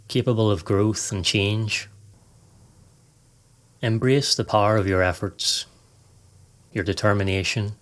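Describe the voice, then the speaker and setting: Male podcast narrator, lower register, measured delivery for scripted guided meditation. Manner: measured, instructional, reading aloud.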